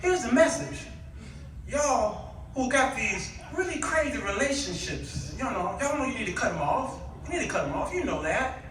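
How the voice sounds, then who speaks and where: A man preaching an animated, colloquial sermon, repeating his punchlines and acting out bits of dialogue to draw responses from the congregation. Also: extremely high pitch, moderate pace, a little hiss or hum. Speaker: guest preacher. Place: church sanctuary before a responding congregation. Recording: very echoey hall, distant microphone.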